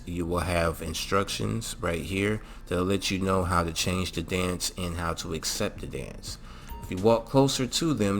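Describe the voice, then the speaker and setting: A man's voice: sits low, gravelly, a bit bright. A man hosting a gaming channel, walking through a mod tutorial in a casual way with lots of fillers and a repeated "all right?".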